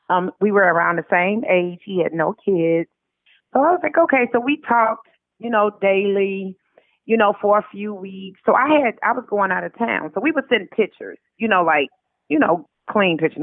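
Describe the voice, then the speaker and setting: An American woman comes through a narrow, phone-like recording with a dry sound. Her voice sits at a middle pitch as she tells stories in a casual, colloquial way, re-enacting bits of dialogue as an interview guest. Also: dry recording. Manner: animated retelling with quoted dialogue, colloquial. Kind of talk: casual conversational storytelling. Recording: tinny narrow phone line.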